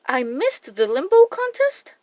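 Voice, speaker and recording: Plucky young boy for a storybook female reader, bouncy pitch, measured pace, dry sound. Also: no echo. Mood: excited. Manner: animated, exclaiming.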